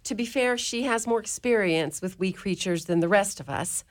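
A wry, motherly mother superior, played by a woman in a community radio play. Her speech has a gentle lilt, teasing one moment and firm with the children the next. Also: medium pitch, normal speed, close to an everyday voice. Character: Irish mother superior of a convent orphanage, warm, wry.

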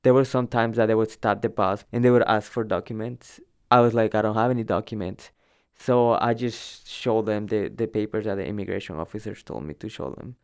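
A young adult man recounts his own experience in a conversational, slightly hesitant manner. His voice sits fairly low and sounds clear and smooth. He often doubles a word for emphasis as he goes. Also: steady tone, brisk, darkish tone. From El Salvador. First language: Spanish. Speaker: storyteller recounting own migration. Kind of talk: informal personal narrative.

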